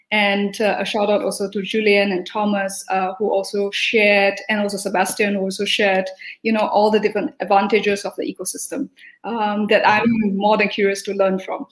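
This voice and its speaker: A woman's voice: mid-range voice, roomy sound, poor audio. A woman from Singapore, a guest speaker at a virtual summit, sharing what she learned from a panel in an earnest, thankful way with many fillers.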